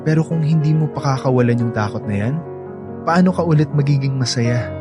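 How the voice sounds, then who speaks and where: An actor performs a young Filipino man in a radio romance, a reformed playboy. His mid-pitched voice is earnest and persuasive as he pleads his love. Music is prominent underneath. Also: fairly quick, lightly acted. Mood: tender, reassuring. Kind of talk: Filipino radio drama acting.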